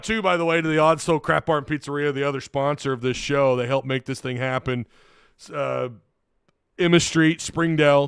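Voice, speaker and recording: A man's voice: fairly high pitch, gravelly, a bit bright, clean tone, no echo, studio quality. A man hosting a casual solo sports recap, tired and losing his voice, rambling with frequent restarts. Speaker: sports podcast host.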